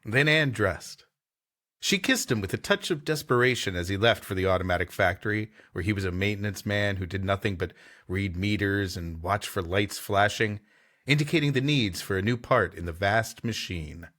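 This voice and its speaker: A man's voice: on the low side, moderate pace, a bit bright. A man hosting a comedy story podcast, reading prose aloud and bantering with playful, theatrical delivery. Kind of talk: scripted story narration mixed with comic banter.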